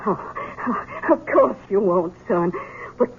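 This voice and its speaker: An actress playing a frail but proud elderly mother, halting and dramatic, in a vintage radio mystery. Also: mid-range voice, lively intonation, breathy edge, heavily acted. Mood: fearful, pleading. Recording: dull, noisy vintage radio recording.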